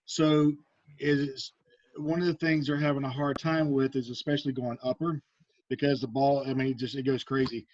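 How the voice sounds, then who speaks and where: A man on a video call asks his teacher a question and keeps stopping and starting his sentences. His voice is of middle pitch. The audio sounds dry and a little muffled. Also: little pitch movement, moderate pace, a little mellow, no room sound. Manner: hesitant, restarts his sentences. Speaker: martial arts instructor, student of the class. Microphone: computer mic on a video call.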